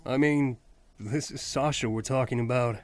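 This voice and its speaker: Actor playing a blunt, mid-pitched young man. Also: moderate pace, lightly acted. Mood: frank reassurance. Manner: dry, casual. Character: blunt, teasing but loyal young male friend. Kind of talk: amateur audio drama, anime fan parody.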